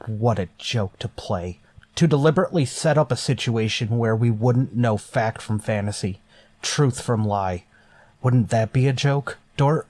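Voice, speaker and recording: A man's voice: medium pitch, measured pace, a little mellow, dry recording, lightly acted. A man playing a stern, suspicious starship captain in a radio sci-fi drama, commanding and insistent. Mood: grimly skeptical. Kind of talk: golden age radio sci-fi drama acting.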